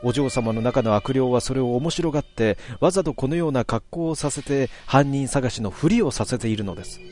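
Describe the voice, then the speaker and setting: A man's voice: mid-range voice, fairly quick, darkish tone, faint music behind, natural voice. A man voicing a loyal female servant who speaks formally and pleads earnestly.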